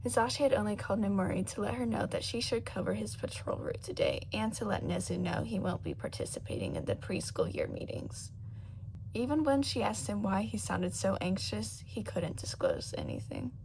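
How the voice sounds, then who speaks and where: A woman reading a story as a narrator, in an expressive, dramatic scripted style. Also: mid-range voice, brisk, gravelly, slight background noise, low-quality recording. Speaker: audiobook narrator of fan fiction.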